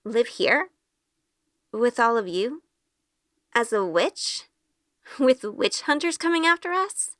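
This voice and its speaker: A woman's voice: on the high side, sing-song, takes it slowly, pauses often, a bit bright, in a large hall, exaggerated voice. A woman playing a sheltered, earnest college-age good girl in a first-person audio drama. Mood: anxious. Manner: earnest, unsure.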